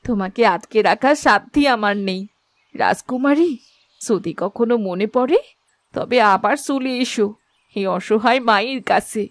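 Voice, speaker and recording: A woman's voice: on the high side, a little slow, dry recording. A woman voicing a tender, emotional monkey mother in a fairy tale cartoon. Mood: sorrowful.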